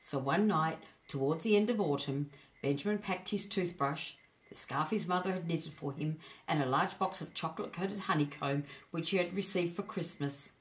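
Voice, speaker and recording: A woman narrating a children's storybook as an expressive storyteller. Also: low-pitched, moderate pace, low-quality recording.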